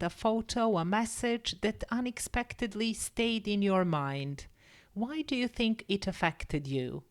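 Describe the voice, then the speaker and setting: Slow, measured woman teacher reading. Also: medium pitch. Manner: measured, careful, explanatory. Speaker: English teacher and story reader. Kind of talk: scripted story narration and vocabulary lesson.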